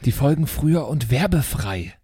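Guy co-host, super husky medium pitched voice, dry casual banter, dry room. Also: animated, moderate pace, mellow, dry recording. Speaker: podcast co-host.

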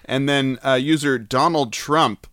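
A man's voice: mid-range voice, a little slow, fairly bright tone, clean tone, no room sound. A man co-hosting a comedy podcast, reading out viewer comments and arguing jokingly. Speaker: podcast co-host. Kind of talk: casual comedic chat, reading comments aloud.

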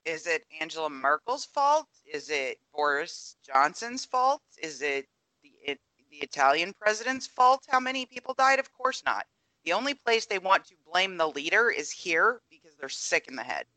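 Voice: mid-range voice, big pitch swings, normal speed, bright, forceful tone, no room sound, rough recording. A podcast co-host in casual political chat, speaking bluntly and emphatically. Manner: blunt, emphatic lists of claims.

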